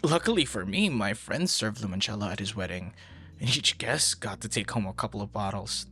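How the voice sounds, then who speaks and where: A man performing an ASMR roleplay as an earnest, easily flustered young stranger, talking conversationally with hesitant repeats and hushed asides. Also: fairly low pitch, lively intonation. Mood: friendly, flustered. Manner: hesitant, halting, soft-spoken asides, repeats words.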